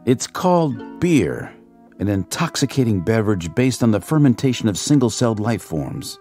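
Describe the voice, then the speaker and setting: A fussy alien royal escort. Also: male voice, lowish voice, very animated, moderate pace, mellow, faint music behind. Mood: officious.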